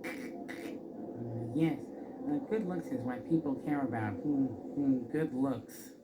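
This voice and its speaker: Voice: medium pitch, takes it slowly, voice pushed down, close to an everyday voice. A narrator voicing a vain, pompous grasshopper in an audio fairy tale, self-satisfied in manner. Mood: musing. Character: vain grasshopper of ancient family, low-voiced. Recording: noisy old record.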